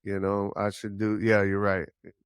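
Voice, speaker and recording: A man's voice: lowish voice, monotone, moderate pace, smooth, no echo, clean studio sound. A man in a casual chat who agrees readily and thinks aloud about improving his podcast. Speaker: podcast host being audited. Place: Saigon.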